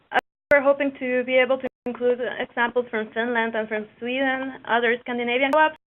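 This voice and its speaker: A woman from Mexico with a Mexican accent, giving a webinar presentation on co-ops, hesitant with many fillers and restarts. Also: a little high. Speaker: co-op business developer, presenter. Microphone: webinar call line. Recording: tinny phone-like webinar audio.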